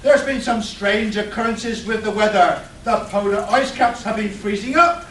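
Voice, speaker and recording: A man's voice: sits high, moderate pace, a bit bright, a little hiss or hum. A man playing the dramatic, mock-serious narrator of a comic superhero radio play performed live. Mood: dramatic announcing. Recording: echoey, distant live stage capture.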